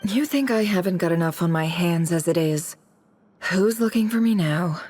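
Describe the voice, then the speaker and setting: A shrewd female intelligence broker acted by a woman, with a lowish, composed voice. The game delivery is dry and skeptical, with faint music behind. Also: moderate pace, darkish tone, natural voice. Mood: wary. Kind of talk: video game voice acting.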